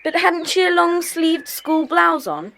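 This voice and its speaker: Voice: very high-pitched, expressive, measured pace, creaky, sharp tone, heavily acted. A performer playing an earnest, polite British child club member in a children's audio drama.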